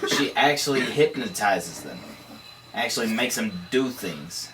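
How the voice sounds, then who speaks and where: A man's voice: medium pitch, very animated, measured pace. A man playing a slangy, skeptical 1930s police detective, conversational and wisecracking, at a table read. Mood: insistent, dismissive. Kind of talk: noir dialogue at a table read. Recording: distant mic, faint room noise.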